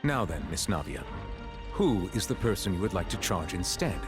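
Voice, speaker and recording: An actor voicing a stern, formal judge in an anime game, measured and pompous. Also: male voice, deep voice, big pitch swings, music in the background. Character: stern, formal male judge presiding over a trial.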